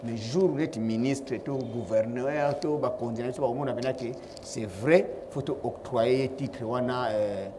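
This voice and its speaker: A man's voice: mid-range voice, unhurried, few pauses, mellow, slight background noise. A man from Kongo Central answering interview questions as a rapper, proud and assertive, speaking of himself by his stage name. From Kongo Central, DR Congo. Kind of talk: interview answers mixing Lingala and French.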